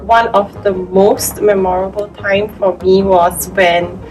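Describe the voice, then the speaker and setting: A woman's voice: mid-range voice, flat delivery, takes it slowly, a little mellow. A woman who works at a food bank, reminiscing casually in an interview. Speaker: food bank staff member. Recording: slightly distant mic under background music. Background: background music.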